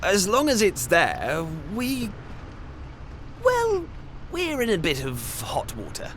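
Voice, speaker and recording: A man's voice: high-pitched, wildly swooping pitch, takes it slowly, a bit bright, slight background noise, exaggerated voice. A man playing a hesitant, polite young villager in a video game, with a sheepish delivery. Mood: sheepish, pleading.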